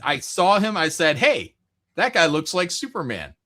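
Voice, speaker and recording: A man's voice: fairly high pitch, big pitch swings, normal speed, sharp tone. A middle-aged man talking casually and emphatically in an opinionated pop culture debate, swearing and repeating his points. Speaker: livestream host.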